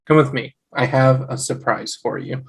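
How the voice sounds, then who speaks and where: A man acts out an eager, devoted young husband in a sitcom read-through. His voice sits in the middle of the male range. He talks in an earnest, excitable way. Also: medium pitch, normal speed, breathy edge, natural voice. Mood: eager, reassuring.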